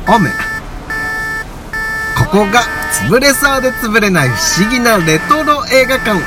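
A showy tour guide in a radio play announces in a very high, lively, slow-paced voice, performed by a man. Music plays clearly behind. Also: recorded from a distance, full character voice, poor audio. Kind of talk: radio drama acting, announcing to a tour group.

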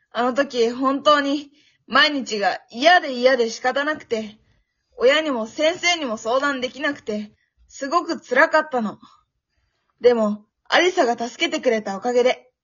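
A woman acting a bubbly, pushy, affectionate teenage girl in an amateur radio drama, with a cutesy, expressive delivery. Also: high-pitched, a little slow, breathy edge, exaggerated voice. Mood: heartfelt. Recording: dull, lo-fi audio.

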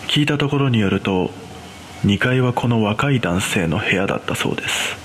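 Male horror narrator acting out an uneasy cleaner recounting something eerie; lowish pitch, expressive swings, noisy recording. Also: normal speed. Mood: grave, uneasy. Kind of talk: scripted first-person ghost story narration.

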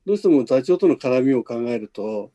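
A man's voice: medium pitch, expressive, fairly quick, warm tone, dry recording, dull audio. A man hosting a casual behind-the-scenes chat, speaking in a conversational, encouraging way. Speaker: podcast host, radio drama producer.

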